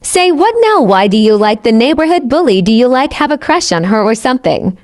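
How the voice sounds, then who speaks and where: High-pitched, animated woman. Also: brisk. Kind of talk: reacting with playful commentary.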